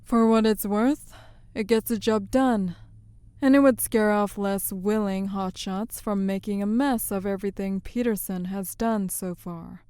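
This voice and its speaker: A woman's voice: mid-range voice, steady tone, a little slow, mellow, clear, resonant tone, no echo, studio quality, close to an everyday voice. A woman playing a no-nonsense crime syndicate enforcer in an audio drama, explaining things matter-of-factly. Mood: brisk, explaining.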